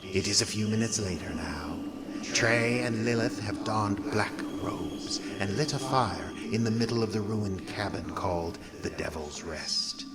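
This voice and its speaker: An actor playing a brooding horror host, narrating an audio drama in a wry, ominous, theatrical manner. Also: male voice, lowish voice, measured pace, over loud music, close to an everyday voice. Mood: grim suspense.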